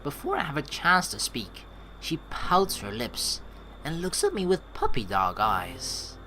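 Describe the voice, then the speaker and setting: A man doing game commentary as a let's player, reading narration and lines aloud in an animated, rambling, informal way. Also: fairly high pitch, measured pace, soft background music, low-quality recording.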